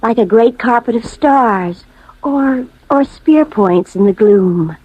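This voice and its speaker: An actress playing a dreamy, romantic woman with a soft, poetic manner, in 1950s radio drama style. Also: on the high side, lively intonation, slow pace, no echo. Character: dreamy romantic woman waiting in rooftop shadows. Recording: dull old radio recording.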